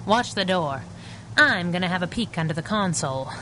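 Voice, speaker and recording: A woman's voice: lowish voice, animated, moderate pace, bright, forceful tone. Plucky female crimefighting sidekick, vintage radio serial; sassy, wry, light background noise. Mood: wry banter. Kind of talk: 1930s-style radio adventure acting.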